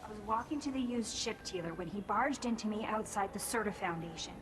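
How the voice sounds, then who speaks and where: An actress playing a young alien vagrant in a video game, defensive and aggrieved. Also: female voice, mid-range voice, brisk, noisy background, natural voice.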